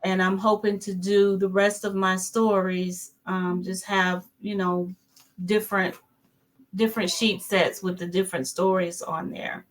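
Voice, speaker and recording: A mature woman speaking as an interview guest in casual chat, halting and repeating words as she explains. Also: medium pitch, flat delivery. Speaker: interview guest, children's author.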